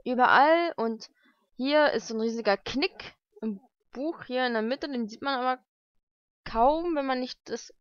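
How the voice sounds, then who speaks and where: Higher-voiced young woman, dull lo-fi sound. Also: unhurried, no room sound. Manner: chatty, thinking aloud, informal. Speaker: vlogger unboxing mail-order books. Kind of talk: casual unboxing commentary. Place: lived-in room at home, indoors. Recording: dull lo-fi home recording.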